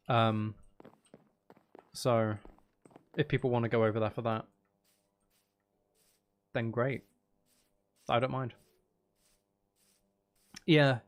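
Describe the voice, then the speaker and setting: A man chatting casually and in a rambling way as he hosts a livestream while gaming. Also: medium pitch. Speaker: streamer, ASMR channel host.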